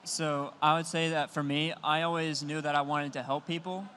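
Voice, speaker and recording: A young man, a student entrepreneur, speaking on a conference panel in an even, measured way. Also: a little high, slight background noise. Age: young adult. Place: conference stage.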